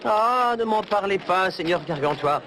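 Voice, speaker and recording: A man voicing a lively, wheedling character in an animated cartoon, with a theatrical delivery. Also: high-pitched, measured pace, heavily acted. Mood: fussy, insistent. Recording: noisy VHS rip with background ambience.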